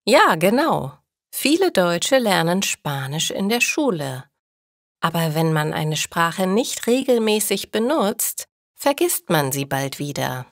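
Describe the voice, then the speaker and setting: A patient male teacher, as a woman reads him out in a learners' storybook. The lines come slowly and plainly, with a lively rise and fall in pitch. The voice sounds close and dry. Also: dry recording, exaggerated voice. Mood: helpful.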